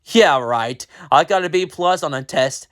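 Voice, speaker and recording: Brief: reader playing kind best-friend boy, raised pitch, unhurried. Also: fairly bright tone, lightly acted. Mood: friendly.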